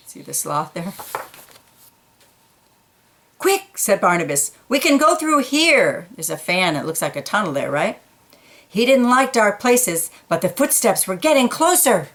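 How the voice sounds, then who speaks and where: A woman reading a children's picture book aloud, pausing for quiet asides about the pictures. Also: medium pitch. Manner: slow, gentle, pointing out pictures in quiet asides.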